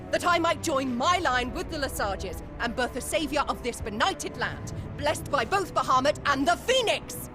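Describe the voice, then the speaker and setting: A woman voicing a haughty, bitter middle-aged noblewoman mother, imperious and theatrical, in a game cutscene. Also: high voice. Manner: imperious, grandiose, formal. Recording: game music behind. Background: orchestral game music.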